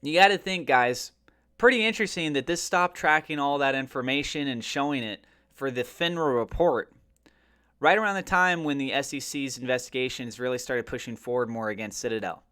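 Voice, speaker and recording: A man's voice: medium pitch, fairly quick, bright, forceful tone, clear, resonant tone. A man hosting a finance video, talking casually to viewers in an emphatic, colloquial way with pointed rhetorical questioning. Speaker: finance and crypto video host. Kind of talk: casual market commentary to viewers.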